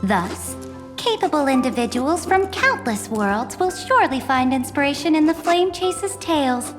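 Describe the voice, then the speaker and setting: A woman's voice: high-pitched, lively intonation, moderate pace. A woman voicing a haughty, regal young woman in a game who speaks in a grand, commanding manner. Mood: imperious. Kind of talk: game voice acting. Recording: long hall reverb. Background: soft music.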